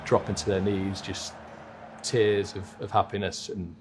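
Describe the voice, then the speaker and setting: A man's voice: sits low, level tone, unhurried, music in the background. A man casually looking back on memories in an interview.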